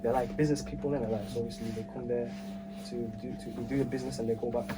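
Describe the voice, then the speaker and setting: A man's voice: mid-range voice, steady tone, rapid-fire, heavy background noise. A young man from Harar chatting casually, rambling and filling his talk with fillers. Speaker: podcast guest. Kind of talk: casual group chat.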